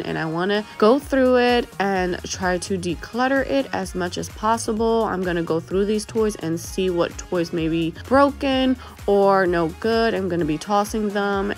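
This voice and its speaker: Congested, chatty young woman vlogger. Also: mid-range voice. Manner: upbeat, chatty, addresses viewers as guys. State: congested, slightly cracky voice. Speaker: cleaning vlogger. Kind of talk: casual vlog narration.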